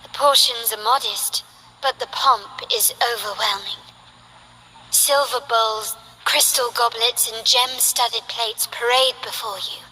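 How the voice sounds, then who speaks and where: A woman playing a poised, ambitious young noblewoman in a video game, speaking in an elegant, formal, measured way. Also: on the high side, sharp tone, exaggerated voice, poor audio. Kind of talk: scripted video game character voice lines.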